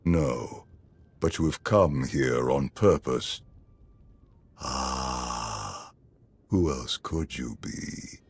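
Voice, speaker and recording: An ancient evil emperor from a video game, with a bottomless, husky voice. He speaks slowly, with calm menace and pompous detachment. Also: a bass voice, exaggerated voice. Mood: cool curiosity. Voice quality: gravelly, husky. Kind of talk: dramatic video game acting.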